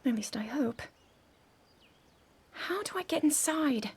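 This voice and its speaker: An actress playing a proud, stubborn young princess with a clipped, cool and determined manner, in a video game cutscene. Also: female voice, high voice, animated, slow pace. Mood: pensive.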